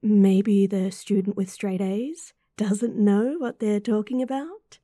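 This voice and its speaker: A woman with an Australian accent playing a caring, teasing young student best friend in a roleplay audio, soft and breathy. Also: mid-range voice, fairly even intonation, takes it slowly, no echo, clean studio sound. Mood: studious, teasing. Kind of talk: roleplay audio acting, intimate and softly spoken.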